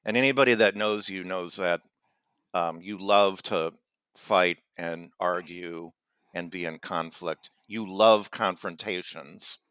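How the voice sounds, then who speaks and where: Man, low voice, rambling teasing podcast chat, stop-start phrasing, dry close sound. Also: fairly bright tone, smooth, dry recording. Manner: rambling and teasing, with halting restarts. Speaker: podcast co-host. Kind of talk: casual comedic chat.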